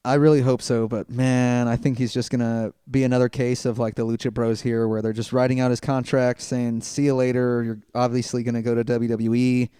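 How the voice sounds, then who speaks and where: Laid-back son co-hosting a podcast. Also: male voice, medium pitch, mellow, clear, resonant tone, no echo, clean studio sound. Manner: laid-back, laughs easily. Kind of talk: casual chat.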